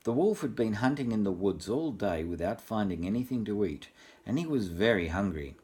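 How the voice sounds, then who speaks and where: An older man reads a picture book to young children like a grandfather. His voice is husky and rises and falls a great deal, with expressive asides put straight to the little listeners. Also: lowish voice, moderate pace. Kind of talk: storybook reading with asides to children.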